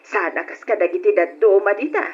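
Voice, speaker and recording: An actress playing a chatty, nosy middle-aged village woman in a radio drama, with a gossipy, animated manner. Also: medium pitch, brisk, husky, lightly acted. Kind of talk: Ilocano radio drama acting. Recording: dull, noisy old radio drama recording.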